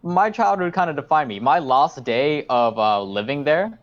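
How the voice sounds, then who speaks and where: A man's voice: a little high, expressive, moderate pace, dull audio. A man, telling stories in a casual podcast chat, swearing freely and rambling with lots of fillers. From South Auckland, New Zealand. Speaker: podcast co-host.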